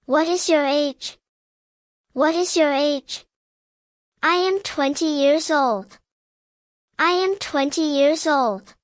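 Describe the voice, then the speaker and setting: Woman, very high voice, careful measured delivery, scripted language lesson, dry close sound. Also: no room sound. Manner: careful, evenly measured, simple phrases. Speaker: English teacher narrator. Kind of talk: scripted beginner language drill, each sentence repeated.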